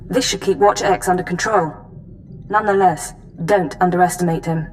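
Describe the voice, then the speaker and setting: An actress playing a cool, efficient imperial intelligence officer in a video game, giving crisp, formal briefings. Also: female voice, on the low side, fairly even intonation, brisk, a little mellow, a little hiss or hum, lightly acted, low-quality recording. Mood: brisk, businesslike.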